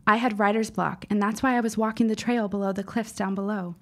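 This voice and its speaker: A woman plays a bookish teenage girl in a radio drama. Her voice has a noticeable creak, and she speaks in wordy, formal phrases with earnest insistence. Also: medium pitch, fairly even intonation, fairly quick, natural voice. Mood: earnest, dramatic. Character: precocious, earnest fifteen-year-old girl writer, shaken but insistent. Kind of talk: audio drama acting.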